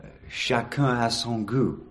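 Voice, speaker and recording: An actor playing a pompous middle-aged English High Court judge in a radio drama, ponderous and earnest. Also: male voice, measured pace, natural voice. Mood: pompous, solemn.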